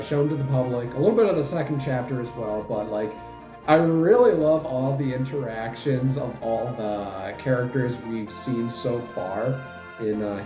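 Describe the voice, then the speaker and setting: A man in his late twenties giving a casual channel update in a halting, rambling way. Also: mid-range voice, warm tone. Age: late 20s. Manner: halting, rambling, frequent chuckles mid-sentence. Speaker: channel host and fan dub group leader. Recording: roomy echo, faint music behind.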